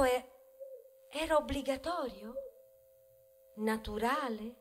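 A woman's voice: fairly high pitch, slight background noise. A woman playing a talking grain of wheat in a theatrical monologue, wry and indignant, moving between muttered asides and protest. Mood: reproachful. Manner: ironic, conversational, swinging from muttered asides to loud protest. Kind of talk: theatrical stage monologue, ballad-like storytelling.

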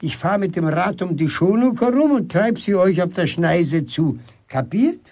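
A man playing a gruff but affectionate old grandfather in a German radio drama, commanding and teasing. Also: high-pitched, expressive, moderate pace, a little mellow. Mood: brisk, commanding. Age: older. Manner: commanding, teasing, tender with his horse.

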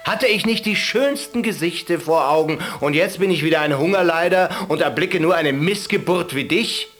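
A man's voice: high voice, lively intonation, a little slow, sharp tone, faint music behind, voice pushed up, exaggerated voice. A man voicing an insolent shepherd who cheekily insults a ruler in a told tale. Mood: defiant insolence. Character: defiant insolent male shepherd.

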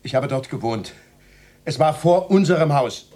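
Radio drama witness, man acting agitated and probing; high-pitched, animated, insistent. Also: normal speed, lightly acted.

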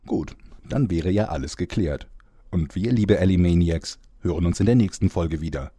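A low, mellow announcer, played by a man, tongue-in-cheek as he narrates a comic radio serial. The recording is dry and close. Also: moderate pace, husky, dry recording. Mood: cheerful sign-off.

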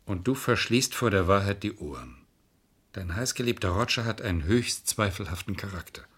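An actor playing a cynical, sharp-tongued defence lawyer in a radio crime play, arguing in a sardonic, matter-of-fact way. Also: male voice, on the low side, animated, normal speed, slightly rough. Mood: scornful, pressing.

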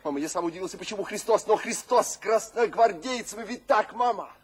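An actor playing a feverish, exalted poet tormented by visions, declaiming dramatically in a radio play. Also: male voice, high voice, quick pace, slightly rough. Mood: impassioned. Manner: declamatory, dramatic, shifting between hush and outcry. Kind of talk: theatrical radio drama monologue.

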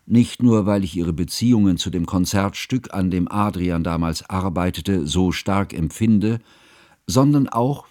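An actor playing a stiff, pedantic, brooding scholar who narrates in a dry, formal, ponderous way in a radio play. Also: male voice, on the low side, a little mellow, dry recording, close to an everyday voice.

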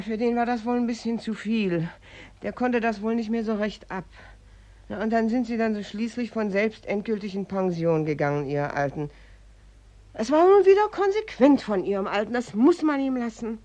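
An actress playing a blunt, gossipy working-class housewife in a radio drama, chatty and flippantly matter-of-fact. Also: female voice, a little high, animated, moderate pace, dark tone, lightly acted. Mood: flippant, callous. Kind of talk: German radio drama acting.

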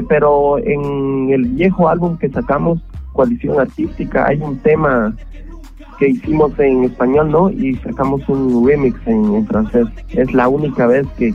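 A man's voice: medium pitch, a little slow, faint music behind. A man from Guatemala, a musician guest, chatting casually in a radio interview with many fillers. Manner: relaxed, conversational, many fillers. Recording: tinny phone line.

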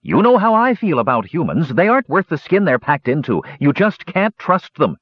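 A man voicing a stubborn, distrustful male dwarf who speaks in a gruff, grumbling way. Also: fairly high pitch, sing-song, moderate pace, pitched above the performer's own voice. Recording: dull lo-fi recording.

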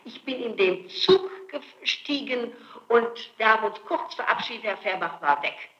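High-voiced woman insisting under interrogation. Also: lively intonation, normal speed, pushed and bright, reverberant, dull audio, slight background noise. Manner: insistent, pleading, emphatic denials. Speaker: suspect under police interrogation. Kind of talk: interrogation, answering accusations. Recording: archival interrogation tape.

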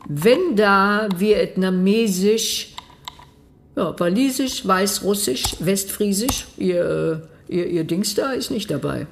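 A woman's voice: mid-range voice, animated, slow pace, vocal fry, slight background noise. An actress playing a brusque, sardonic middle-aged female official in a radio drama, dry and impatient. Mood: dismissive, puzzled. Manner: dry, sarcastic, impatient, commanding. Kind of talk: modern radio drama dialogue.

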